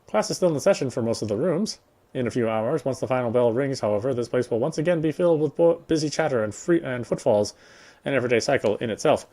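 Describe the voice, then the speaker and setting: A man's voice: mid-range voice, expressive, quick pace, warm tone. A man reading visual novel narration aloud, sometimes stumbling and repeating phrases. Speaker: playthrough reader.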